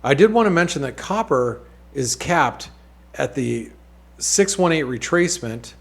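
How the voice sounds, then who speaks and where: A man's voice: medium pitch, animated, unhurried, vocal fry. A man hosting a weekly market outlook, talking casually over charts with many fillers, restarts and wry asides. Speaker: market analyst host.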